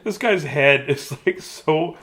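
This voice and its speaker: A man's voice: on the high side, takes it slowly, fairly bright tone. A man reading children's stories aloud, chatting casually and breaking off into joking asides. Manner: chatty, self-interrupting, jokey asides. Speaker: children's story reader.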